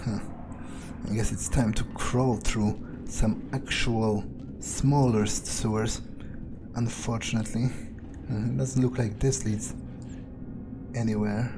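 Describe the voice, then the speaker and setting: A man narrating a video game playthrough in character, thinking aloud in a musing, halting way. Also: fairly low pitch, steady tone, noisy background.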